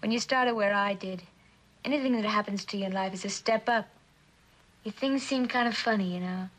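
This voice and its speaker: An actress plays a young American woman drifting through life in a vintage suspense drama. She is streetwise and plain-spoken, breezy and blunt with a kind streak. Her medium-pitched voice stays casual and slangy. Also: a little fast, fairly bright tone, lightly acted, low-quality recording. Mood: frank, breezy. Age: young adult. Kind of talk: mid-century American television suspense drama acting.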